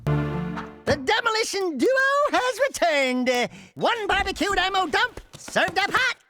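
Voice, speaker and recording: High-pitched cocky rodent sidekick. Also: male voice, sing-song, a little slow, over music, heavily acted. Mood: boastful. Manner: boastful, smart-mouthed, theatrical. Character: cocky wisecracking small furry male rodent sidekick. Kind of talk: animated video game dialogue.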